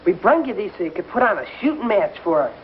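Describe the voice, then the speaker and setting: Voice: mid-range voice, very animated, quick pace, slight background noise, close to an everyday voice. An actor playing an eager, brash schoolboy with rustic speech in an old television western. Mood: eager, pleading. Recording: dull old TV soundtrack, music behind.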